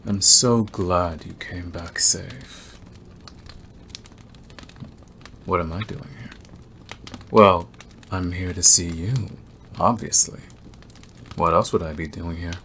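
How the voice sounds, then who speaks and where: A man playing a predatory, obsessive male werewolf in an ASMR roleplay, talking in a deliberate, teasing way with drawn-out pauses. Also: low voice, pushed and bright, slight background noise, exaggerated voice. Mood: coaxing, controlling.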